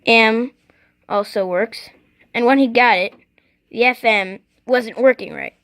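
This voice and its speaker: A vlogger casually showing off a collection, speaking unscripted and haltingly, often stopping to clear the throat. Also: medium pitch, fairly bright tone. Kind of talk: casual unscripted show-and-tell.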